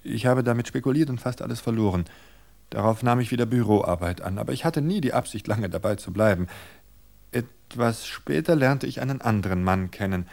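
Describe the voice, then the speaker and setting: A man's voice: medium pitch, fairly quick, gravelly, a little mellow, lightly acted. A man voicing a cool scheming poisoner woman. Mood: cool, matter-of-fact. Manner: cool, matter-of-fact confession. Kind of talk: German radio drama reading.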